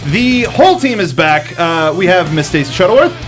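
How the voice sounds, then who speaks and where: A male host chats casually with a panel in a slightly high voice. He is jokey and often corrects himself. Music plays faintly behind him. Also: big pitch swings, normal speed. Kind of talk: casual panel talk show.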